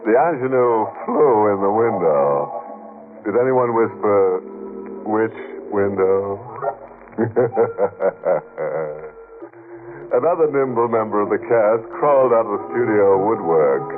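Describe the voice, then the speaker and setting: Sardonic low-voiced male horror radio host. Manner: macabre, sardonic, theatrical. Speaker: host of a mystery show. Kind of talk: 1940s radio horror hosting, scripted narration. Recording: dull old radio recording with music behind.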